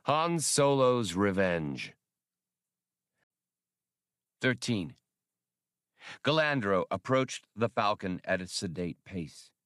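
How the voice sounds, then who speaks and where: A man's voice: lowish voice, sing-song, slow pace, pauses often, fairly bright tone, dry recording, clean studio sound. A man narrating a scripted adventure story as an audiobook reader.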